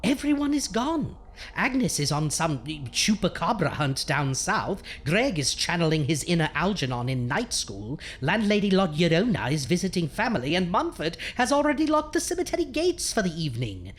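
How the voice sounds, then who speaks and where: Woman as a theatrical low-voiced horror show hostess. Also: very animated, normal speed. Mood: exasperated. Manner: theatrical, sardonic. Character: exasperated spooky female horror show hostess, theatrical and bossy. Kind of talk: campy horror-host audio drama.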